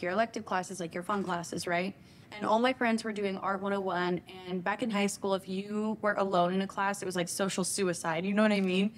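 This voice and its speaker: A young woman from the United States, a guest on a studio interview couch. She tells her stories in an animated, playful way. Her voice sits at a middle pitch for a woman. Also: a little hiss or hum. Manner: lively, animated storyteller, playful. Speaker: guest, software engineer and content creator. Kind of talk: casual interview storytelling. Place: TV studio set, seated on couch.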